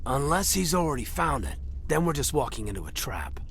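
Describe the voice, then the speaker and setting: A man playing a keen, questioning squad member in a sci-fi video game, with an urgent, expressive delivery. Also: on the high side, fairly quick, creaky, slight background noise, full character voice. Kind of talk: scripted video game dialogue.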